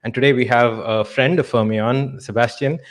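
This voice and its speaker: A man's voice: mid-range voice, fairly even intonation, normal speed. A man hosting a weekly live coding stream, upbeat and welcoming as he introduces his guest. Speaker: live-stream host, developer advocate.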